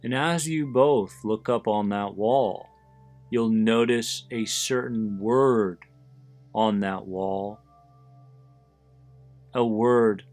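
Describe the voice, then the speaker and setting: A man narrates a guided meditation at a very slow pace, with music playing behind him. He speaks in short, deliberate phrases with pauses between them. His manner is soothing and his pitch sits in the middle range for a man. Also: darkish tone. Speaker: host of an anxiety support podcast, guiding listeners. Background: background music.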